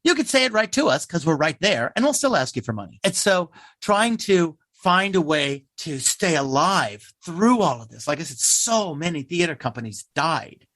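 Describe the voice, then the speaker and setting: A man with a fairly high voice talks in a very lively, swooping way. He is a playwright answering interview questions, emphatic and wry, slipping into imagined quotes. The sound is dry and close. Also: moderate pace, few pauses, no room sound. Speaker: theater company playwright being interviewed. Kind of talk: animated conversational interview answers.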